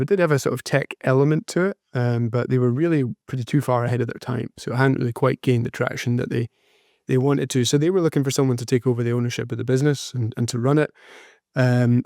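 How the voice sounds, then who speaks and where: A man's voice: medium pitch, darkish tone, no echo. Man with a mild Scottish accent, dry sound. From Scotland. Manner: measured, many filler ums, modest. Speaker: startup CEO, guest. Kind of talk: interview answers.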